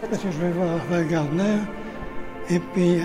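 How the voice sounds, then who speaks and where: Older hesitant man, slightly high. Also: steady tone, moderate pace, over loud music. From Paris. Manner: hesitant, many restarts and fillers. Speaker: interviewee, cinema-goer. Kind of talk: casual interview. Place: cinematheque in a city.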